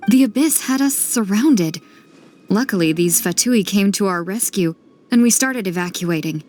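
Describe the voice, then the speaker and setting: A woman playing a gentle, weary refugee mother in a video game, speaking earnestly and soothingly. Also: medium pitch, animated, moderate pace, faint music behind, natural voice. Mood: distressed recounting.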